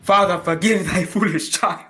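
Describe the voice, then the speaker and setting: A man's voice: high voice, normal speed, close to an everyday voice. A man playing a doomed, lovelorn sea captain with solemn, archaic declamation in an old-time radio drama style. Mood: solemn, resolute. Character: doomed ghostly sea captain, older man, anguished lover. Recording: clearly noisy amateur stage recording.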